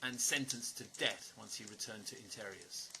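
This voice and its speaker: A man playing an earnest stranger pleading for trust in an audio drama, speaking haltingly and repeating words. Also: lowish voice, background noise, lightly acted. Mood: explanatory, guarded. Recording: music under the voice. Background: music.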